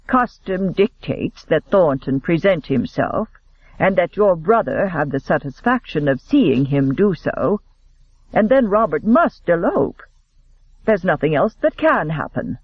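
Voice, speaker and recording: A man playing a gruff, stern spinster chaperone who speaks in a measured, commanding way. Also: high-pitched, big pitch swings, dry recording, highs cut off, heavily acted.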